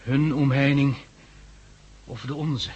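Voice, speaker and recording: An actor playing an excitable, questioning male survivor with a husky, rough voice in a 1960s Dutch radio drama. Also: medium pitch, slow pace. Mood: argumentative. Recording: dull old radio drama recording.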